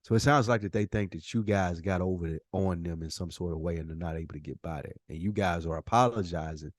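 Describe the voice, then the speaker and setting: A man's voice: low voice, quick pace, dry recording, clean studio sound. A man co-hosting a podcast, talking casually as he asks questions and sums up what he has heard. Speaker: podcast co-host. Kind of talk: casual conversation, questioning and summing up.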